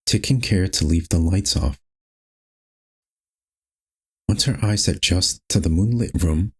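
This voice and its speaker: A man's voice: deep voice, husky. A man reading scripted, storybook-style narration for a horror game.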